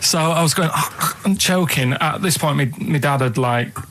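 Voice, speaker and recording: A young man, telling a long story on a radio show in halting, rambling sentences full of restarts. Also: a little high, fairly bright tone, slight background noise. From England. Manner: rambling, halting, plain-spoken anecdotes with many restarts.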